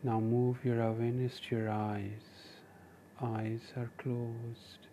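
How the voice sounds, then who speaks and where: A man with an Indian accent leading a guided meditation, giving gentle, measured instructions in short phrases with pauses. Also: mid-range voice, flat delivery, slight background noise. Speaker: meditation teacher and podcast host.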